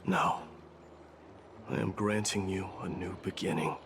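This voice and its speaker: A grave, deep-voiced warrior king. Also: fairly even intonation, measured pace, background noise. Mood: grave, resolute. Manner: grave, formal, declamatory. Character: proud solemn young warrior king, newly ascended war demigod. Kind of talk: scripted game cutscene drama. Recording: game dialogue under loud music.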